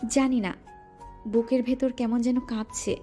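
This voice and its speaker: A woman voicing a shy, soft-spoken young bride in a romantic audio story, music behind. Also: a little high, fairly even intonation, a little slow, close to an everyday voice. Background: soft background music.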